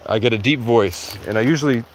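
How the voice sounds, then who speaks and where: A sleep-deprived man livestreaming outdoors in a rural area, rambling in a halting way with many restarts. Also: medium pitch, slight background noise, rough recording. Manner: halting, restarts often, heavy use of "like". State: sleep-deprived after hours of talking. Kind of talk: rambling casual monologue.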